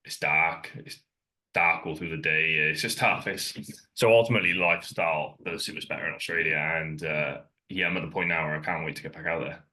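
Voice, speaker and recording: A man's voice: rumbling low, steady tone, fairly quick, pushed and bright. A Welsh man in his thirties, a guest on a podcast who works as a fitness coach, talking candidly and loosely and rambling with fillers. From Wales. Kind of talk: casual chat. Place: in Ireland, remote.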